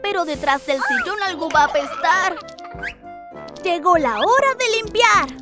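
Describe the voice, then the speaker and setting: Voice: extremely high pitch, lively intonation, a little slow. A performer voicing a playful, adventurous young lion cub in a children's cartoon, speaking in sing-song, exclamatory rhymes. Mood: determined. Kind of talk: rhyming children's cartoon narration. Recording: loud music under the voice.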